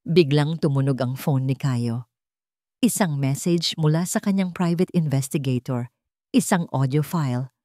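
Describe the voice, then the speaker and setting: A woman's voice: low voice, unhurried, dark tone, dry recording, clean studio sound. A woman narrator reading a scripted, dramatic love story.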